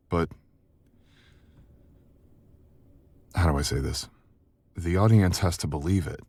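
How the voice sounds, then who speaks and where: A man playing a sharp, confident, flirtatious book editor in an intimate, conversational audio roleplay, speaking in a measured, teasing manner. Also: sits low, no room sound. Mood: reassuring, earnest.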